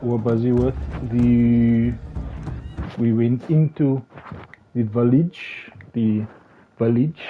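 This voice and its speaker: A slightly hoarse man commentating his game live, talking haltingly with many restarts and fillers. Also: medium pitch, slight background noise. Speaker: game streamer. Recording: tinny phone-like sound with faint music.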